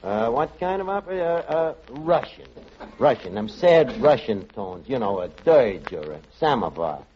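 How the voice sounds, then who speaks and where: An actor playing a scheming, wisecracking tavern manager in a 1940s radio comedy. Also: male voice, medium pitch, very animated, measured pace. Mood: flustered sarcasm. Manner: wisecracking, mangled phrases. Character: fast-talking, scheming tavern manager who mangles his words. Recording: dull, noisy vintage radio recording.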